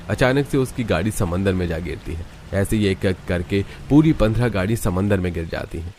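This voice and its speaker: A man's voice: low voice, a little mellow, slight background noise. A man reading scripted story narration with music playing behind him. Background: background music.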